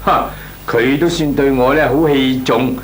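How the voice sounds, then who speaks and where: A man's voice: mid-range voice, measured pace, close to an everyday voice. An actor playing a talkative, cautious small businessman in a Cantonese comic radio drama, hemming and chuckling as he talks. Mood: bashful, wary. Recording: clearly noisy, humming radio recording.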